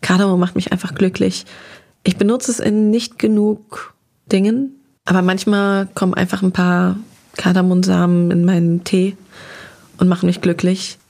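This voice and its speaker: Enthusiastic woman with a gravelly edge. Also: lowish voice, normal speed. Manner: lively, enthusiastic about food, talks in long flowing sentences. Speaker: interview guest, restaurant critic. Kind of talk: relaxed conversational interview.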